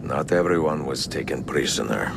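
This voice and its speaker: An actor playing a self-assured, wry master assassin with an Italian accent in a game cutscene, terse and dry. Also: male voice, rumbling low, lively intonation, moderate pace, vocal fry, noisy background, full character voice. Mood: firm, dry. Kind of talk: video game cutscene acting. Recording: loud music behind the voice.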